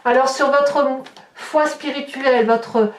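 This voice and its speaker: Woman, highish pitch, expressive tarot reader, direct address to viewers, strong room echo. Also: slow pace. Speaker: tarot reader hosting a horoscope video. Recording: roomy echo, distant microphone.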